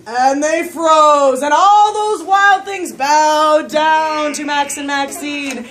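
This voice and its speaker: A woman's voice: sits high, slow pace, a bit bright, slight background noise. A woman telling an interactive story to young children in a theatrical manner, prompting them to act along. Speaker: storyteller leading a children's puppet show.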